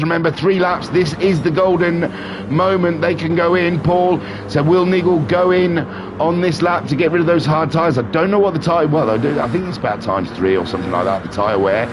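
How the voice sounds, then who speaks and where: A man with a head cold and a nasal voice, excitedly commentating a sim race with jokey, rambling asides. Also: fairly high pitch, noisy background. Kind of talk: live sim racing commentary.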